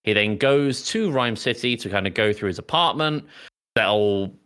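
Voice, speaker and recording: A man's voice: fairly low pitch, animated, moderate pace, a bit bright, clear, resonant tone. A man co-hosting a podcast, recapping a film in a casual, rambling way that often interrupts itself. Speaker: podcast co-host.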